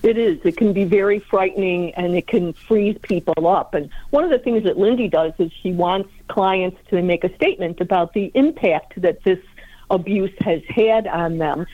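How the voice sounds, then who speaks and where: A woman's voice: lowish voice, moderate pace, no echo. A woman psychiatric nurse practitioner speaking as a radio guest, fluent and composed. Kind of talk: radio interview by phone. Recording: tinny phone line.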